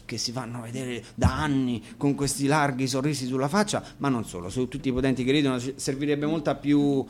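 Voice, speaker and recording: A man's voice: mid-range voice, fairly even intonation, brisk, a little hiss or hum. A man, casually introducing songs in a discursive, wry, explanatory way during a live radio session. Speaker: singer introducing songs as a guest.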